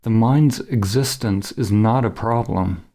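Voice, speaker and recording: Slow, mellow, creaky male meditation guide. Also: lowish voice. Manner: measured, solemn, instructional. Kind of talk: scripted guided meditation narration.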